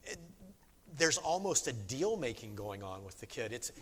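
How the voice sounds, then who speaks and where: A man's voice: medium pitch, very animated, normal speed. A man speaking as a co-host in a casual, conversational discussion. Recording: echoey hall with long reverb.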